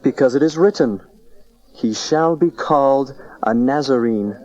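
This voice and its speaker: A man's voice: medium pitch, a little slow, darkish tone, lightly acted. A learned rabbi of ancient times, acted by a man, speaks in a middle register. He is rhetorical, by turns playful and solemn, in radio-play style. The sound is dull, like an old cassette. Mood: solemn blessing. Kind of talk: dramatized Bible audio acting.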